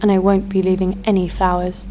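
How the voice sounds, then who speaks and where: A woman's voice: medium pitch, completely flat delivery, brisk, close to an everyday voice, low-quality recording. Woman acting as a hard-bitten bounty hunter lady narrating her audio drama, sardonic and vengeful, faint music behind. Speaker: lead actor and narrator.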